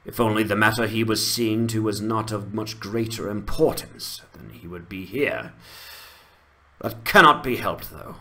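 A man voicing a dutiful male ranger captain, speaking gravely and formally in a fantasy game dramatization. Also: lowish voice, expressive, measured pace, sharp tone, exaggerated voice.

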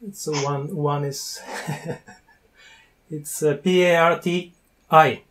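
A man's voice: a little high, steady tone, fairly bright tone. Playful Bulgarian man on a video call. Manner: playful, teasing, pauses to search for words. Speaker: language learner interviewing a tutor. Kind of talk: casual video-call chat. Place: small room indoors with wooden doors. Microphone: wired earphone mic on a video call.

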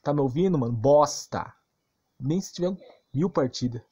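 A man's voice: on the high side, lively intonation, normal speed, dark tone, breathy edge. A man with a Brazilian accent giving a casual, ranting game commentary, slangy and crude, emphatic and repetitive with rhetorical questions. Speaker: gaming YouTuber.

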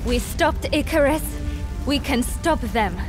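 A woman's voice: high-pitched, a little slow. An actress playing a determined, idealistic young woman fighter in a video game, earnest and defiant. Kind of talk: video game cutscene acting. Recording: cinematic music behind.

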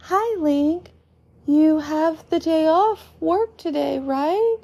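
A woman's voice: high-pitched, slow, warm tone, pitched above the performer's own voice. A woman voicing a friendly, earnest, polite young boy in storybook dialogue.

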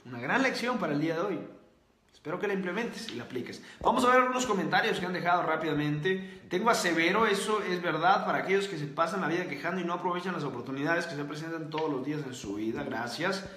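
A man's voice: on the high side, fast talker, fairly bright tone, roomy sound. A man hosting a motivational livestream. He reads out viewer comments and repeats his key points in a preachy way. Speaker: host.

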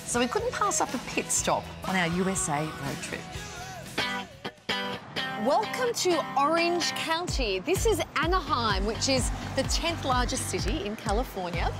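An Australian woman in her forties co-hosts a travel show with a cheerful, teasing manner. Her voice is high and very lively in pitch. Music plays loudly behind her. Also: a little slow. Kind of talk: travel voice-over and banter.

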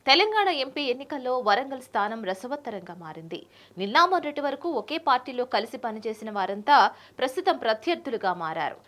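Casting: young female news presenter, higher-pitched, formal scripted read. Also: sing-song, fast talker, fairly bright tone.

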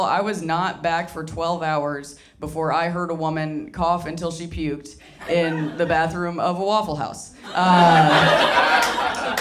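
A young woman from Tennessee performing a stand-up comedy set into a handheld mic, wry and deadpan, slipping into mocking voices for the people she quotes. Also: fairly low pitch, a little hiss or hum. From Southern US, Tennessee. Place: small candy-shop comedy venue with live audience. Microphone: handheld microphone.

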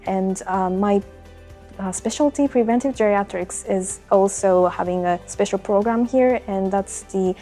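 A young woman from Tokyo, a doctoral student, speaking softly and hesitantly in an empty lecture hall. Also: medium pitch, a little slow, faint music behind. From Japan, Tokyo. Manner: soft, hesitant with fillers. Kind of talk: promotional interview. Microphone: lapel mic.

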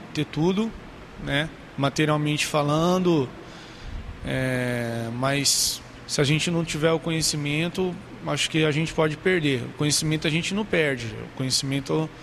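Twenties man, earnest and halting, gravelly edge, handheld mic in an empty classroom, faint noise behind. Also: on the high side, a bit bright. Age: 20s. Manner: earnest, halting with repeated words. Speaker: interviewee, advertising student. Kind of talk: documentary interview.

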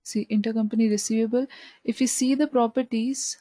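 A woman software trainer narrating a step-by-step screen tutorial in an instructional way, repeating key points. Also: on the high side, fairly even intonation, a little fast, dry recording.

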